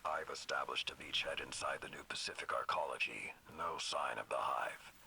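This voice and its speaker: An actor playing a stern, terse field soldier in a video game, giving clipped military reports. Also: male voice, rumbling low, moderate pace, vocal fry, slightly rough, heavily acted. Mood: businesslike. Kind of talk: video game radio chatter. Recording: loud engine-like noise behind.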